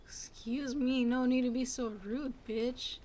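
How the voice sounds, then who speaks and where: A woman in her twenties giving casual let's play commentary in a soft-spoken, reserved manner. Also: high-pitched, steady tone, a little slow, a little hiss or hum. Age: 20s. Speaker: gaming video creator. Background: game music.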